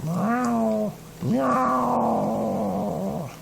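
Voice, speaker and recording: A man's voice: high voice, drawn-out words, vocal fry, slight background noise, voice pushed up, exaggerated voice. A man voicing a meowing cat from a storybook. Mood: chanting.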